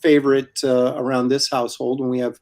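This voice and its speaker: An older man in his sixties hosts a relaxed live stream, keeping up a chatty, easygoing talk. He trades friendly questions with his guest and reads out comments from viewers as they come in. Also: medium pitch, fairly quick. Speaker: host. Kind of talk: casual live-stream chat.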